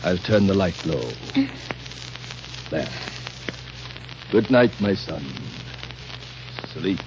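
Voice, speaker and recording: A falsely soothing, controlling father in an old radio play. He speaks low and hushed with gentle insistence, on a muffled recording full of vintage noise. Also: drawn-out words. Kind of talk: 1930s radio drama acting.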